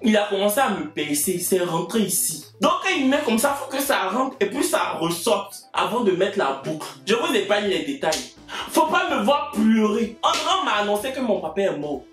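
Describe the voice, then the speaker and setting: A young man with an Ivorian French accent spins a comic tale from his own life, and his pitch swoops up and down as he plays each character. His voice rings in a roomy space, with soft music under it. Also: normal speed. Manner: lively, acts out every voice in the story. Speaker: video creator. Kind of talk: comic personal storytelling. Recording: roomy echo, faint music.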